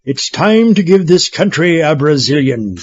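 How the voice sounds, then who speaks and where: A man's voice: on the high side, very animated, measured pace, a crowd in the background. A man playing a haughty supervillain in a comedy audio drama, pompous and condescending. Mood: haughty, contemptuous. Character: haughty, condescending male supervillain running for dictator. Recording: dull lo-fi, faint music behind.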